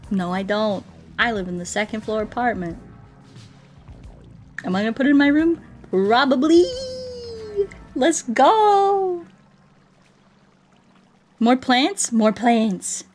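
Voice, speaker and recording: A woman's voice: fairly high pitch, expressive, slow pace, pauses often, soft background music. A woman livestreamer in casual, playful, rambling chat, answering her viewers aloud as she plays a game.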